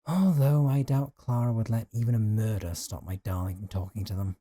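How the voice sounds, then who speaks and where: A man's voice: fairly low pitch, brisk, warm tone, no room sound, close to an everyday voice. A man acting a possessive, lovesick young man in an intimate audio roleplay, talking in a casual, teasing, conversational way with sighs. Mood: quietly scheming. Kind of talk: intimate audio roleplay drama, one side of a call.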